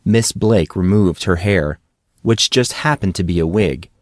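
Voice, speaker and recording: A man's voice: sits low, a little slow, dry recording, clean studio sound. A man reading a scripted fantasy story as an audiobook narrator.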